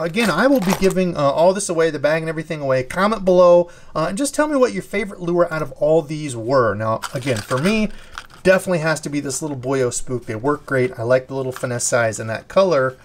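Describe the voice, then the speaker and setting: A man's voice: fairly high pitch, slight background noise. Chatty upbeat man showing fishing lures. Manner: chatty and enthusiastic, fond of old lures. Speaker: fishing channel host. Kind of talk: casual unboxing chat.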